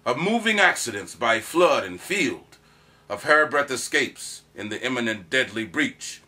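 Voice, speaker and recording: A man's voice: mid-range voice, sing-song, unhurried, bright, forceful tone. A man performing a proud soldier who recounts his courtship in a declamatory, theatrical Shakespearean monologue. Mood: proud, grand. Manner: declamatory, dramatic emphasis.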